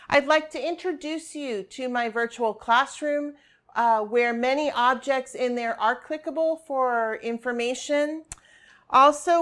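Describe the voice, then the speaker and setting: A friendly woman teacher in middle age, talking students and parents through her class and website. Her voice sits a bit high, and she explains things clearly and warmly, mixing in Spanish greetings. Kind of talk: teacher's welcome video and website walkthrough.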